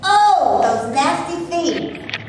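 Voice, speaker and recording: In a very echoey room with faint noise, a woman plays a sassy, fed-up film actress on stage. Her high voice is indignant and colloquial, the feisty talk of someone tired of maid roles. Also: lively intonation, very slow, exaggerated voice. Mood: defiant. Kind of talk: staged play reading. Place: echoey room before a live audience. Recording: echoey room with noise, live audience recording.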